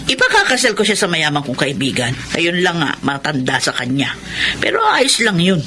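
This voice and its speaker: A bright, brassy middle-aged schemer. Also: female voice, deep voice, wildly swooping pitch, moderate pace, slight background noise, exaggerated voice. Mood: smug, eager. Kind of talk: radio drama acting.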